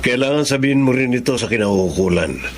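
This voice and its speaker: A man plays a principled doctor in a Filipino melodrama. His voice is medium in pitch, sober and measured as he advises, with faint noise behind. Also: animated, natural voice. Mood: grave. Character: sober, principled doctor giving kindly advice.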